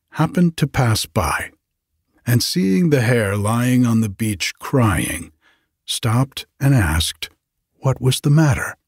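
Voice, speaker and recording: Slow, husky man reading a bedtime story. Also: fairly low pitch, dry recording, studio quality. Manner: unhurried, hushed, with long pauses between phrases. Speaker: podcast host reading bedtime stories.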